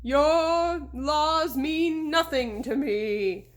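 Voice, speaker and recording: A woman doing a slow, moaning ghostly horseman. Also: high-pitched, pitched above the performer's own voice. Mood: menacing.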